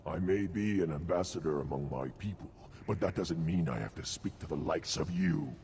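A man voicing a haughty, gruff reptilian alien ambassador in a video game, gravelly and pompous. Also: sits low, moderate pace, darkish tone, slight background noise. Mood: contemptuous. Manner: pompous, contemptuous.

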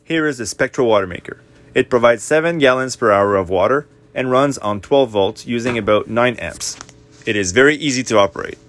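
A man's voice: medium pitch, fairly quick, fairly bright tone. A man narrating a walkthrough tour of his own boat, listing the equipment in a plain, matter-of-fact way. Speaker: boat owner giving a tour.

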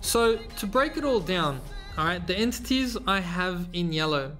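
A man hosting a screen-recorded tutorial, explaining step by step in a relaxed way with casual fillers. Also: high-pitched, moderate pace, soft background music. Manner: relaxed, explanatory, frequent fillers like "you know". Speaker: tutorial host. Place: voice-over over slides.

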